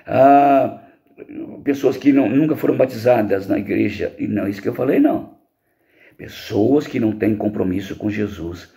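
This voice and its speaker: A man's voice: lowish voice, normal speed, creaky, dark tone. A middle-aged man with a Brazilian accent teaching an informal Bible lesson to teens as a pastor, didactic and emphatic, often posing questions and answering them himself.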